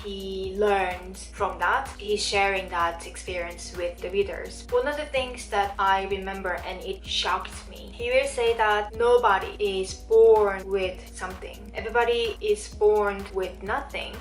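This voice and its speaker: A woman's voice: medium pitch, steady tone, unhurried, few pauses. A woman from South Korea with a Korean accent, chatting casually as she reviews books to camera, often circling back to rephrase. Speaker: video blogger. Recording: roomy echo, distant mic, faint music.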